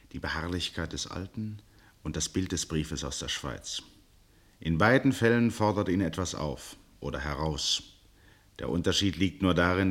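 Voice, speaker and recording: Narrator for a 60s german radio drama, low pitched, measured, articulate, literary style. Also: male voice. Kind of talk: scripted literary narration, 1960s radio play.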